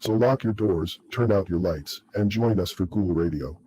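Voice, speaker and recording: A man's voice: sits low, moderate pace, mellow, close to an everyday voice. A man playing a spooky horror show host, giving a formal, theatrical scripted radio introduction. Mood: ominous, formal. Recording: faint background noise.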